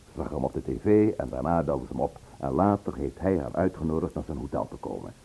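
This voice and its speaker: A man acting in a Dutch radio play as a composed, probing gentleman investigator who speaks with measured care. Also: extremely low pitch, lively intonation, gravelly, breathy edge, heavily acted. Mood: businesslike. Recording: muffled narrow-band old radio recording.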